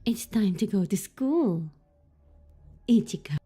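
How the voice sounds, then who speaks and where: A woman's voice: mid-range voice, lively intonation, takes it slowly, mellow, slightly rough, soft background music. A woman streaming a horror visual novel, reading the on-screen text aloud between giggles and startled swearing. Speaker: streamer playing a horror game.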